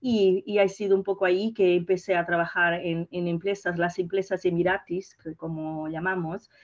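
A woman's voice: lowish voice, steady tone, normal speed, highs cut off. A native Portuguese woman with a Portuguese accent giving conversational interview answers as a podcast guest, effusive and searching for words. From Portugal, born in Mozambique. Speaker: podcast guest, hotel PR and social media director.

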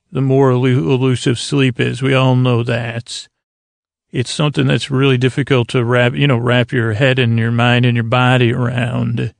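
A man hosting a sleep podcast in a meandering, halting, self-deprecating bedtime monologue, with a gravelly creak in his voice. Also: medium pitch, no echo, clean studio sound. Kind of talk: rambling, improvised bedtime monologue.

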